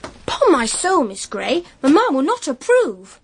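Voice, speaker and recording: A woman's voice: high-pitched, huge pitch swings, unhurried, a little hiss or hum, full character voice. An actress playing a bold, impatient upper-class teenage English girl in a period audio drama, outspoken and petulant.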